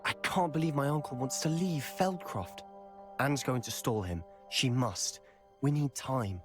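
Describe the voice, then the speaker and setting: A man's voice: mid-range voice, measured pace, soft background music, close to an everyday voice. A man playing a defiant, urgent teenage schoolboy with a British accent in a video game. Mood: alarmed, urgent. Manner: urgent, insistent, persuasive. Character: driven, defiant teenage schoolboy desperate to help Anne. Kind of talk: dramatic video game acting.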